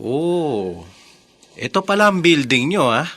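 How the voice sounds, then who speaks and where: An actor playing a breezy young architect bantering with a friend in a radio drama. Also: male voice, on the high side, very animated, slow pace, bright, forceful tone, dry recording, heavily acted. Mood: jovial, bantering. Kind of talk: Tagalog radio drama acting.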